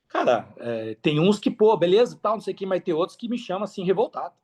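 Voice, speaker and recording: A Brazilian man with a slightly high voice talks as a guest on a podcast. His delivery is casual and emphatic, full of slang and repeated phrases for stress. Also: quick pace, husky. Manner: emphatic, repeats phrases, slangy, animated storytelling with acted-out quotes. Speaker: podcast guest, affiliate marketer.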